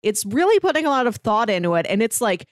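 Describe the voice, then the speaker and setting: A woman's voice: a little high, big pitch swings, fast, no room sound, clean studio sound. A woman on a podcast panel, talking in a casual but analytical way as she lays out her view.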